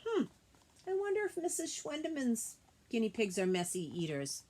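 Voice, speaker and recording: A woman teacher reading a children's picture book aloud expressively, pausing to explain things gently and simply to young listeners. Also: a little high, wildly swooping pitch.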